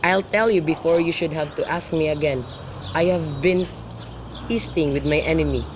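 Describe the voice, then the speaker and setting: An impatient young lover acted by a man speaks in a slightly high voice. The lines are earnestly recited, as in a student radio drama. Faint outdoor noise and birds are heard behind him. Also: moderate pace, close to an everyday voice.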